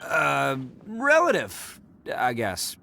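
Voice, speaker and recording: A man's voice: fairly high pitch, huge pitch swings, very slow, gravelly, sharp tone, slight background noise, exaggerated voice. A man voicing a brash, boastful but big-hearted young oni in a video game, with a theatrical, bragging manner. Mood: hesitant. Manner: bragging mock modesty, theatrical.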